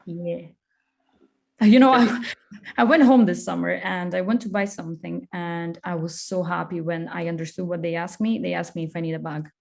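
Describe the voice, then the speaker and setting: Guest, young woman, low-ish pitch, frank and laughing. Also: steady tone, normal speed, creaky, a little mellow. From Estonia. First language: Russian. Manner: giggly, frank, laughs often. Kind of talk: casual interview chat.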